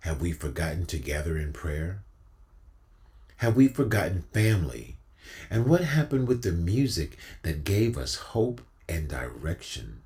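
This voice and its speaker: A man's voice: deep voice, very animated, unhurried, darkish tone. A man reciting spoken-word poetry like a preacher, with a solemn, exhortative, rhythmic delivery and emphatic repetition.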